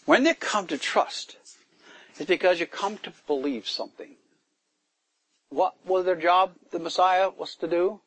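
A man's voice: high voice, measured pace, long pauses, fairly bright tone, husky, no echo, rough recording. A man preaching a sermon to a congregation, rising into emphatic bursts and putting questions to his listeners. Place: church gathering in the woods.

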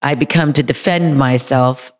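A woman with a deep voice sharing candidly in a church group, emotional and halting, with many fillers. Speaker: group member.

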